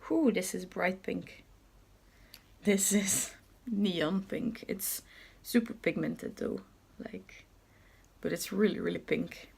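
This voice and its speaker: A young woman in her twenties with a slightly raspy just-woken voice and hiccups, chatting casually while showing gifts, recorded on a handheld camera. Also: medium pitch, takes it slowly, darkish tone, reverberant. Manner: chatty, self-narrating while wrapping gifts. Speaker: vlogger. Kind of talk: casual vlog chat. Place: home kitchen and living room.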